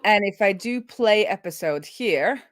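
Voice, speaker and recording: A middle-aged woman host talking informally through live coding, thinking aloud and often halting as she works things out. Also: medium pitch, expressive, dry recording, clean studio sound. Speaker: host of a web development live stream. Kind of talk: casual live coding commentary.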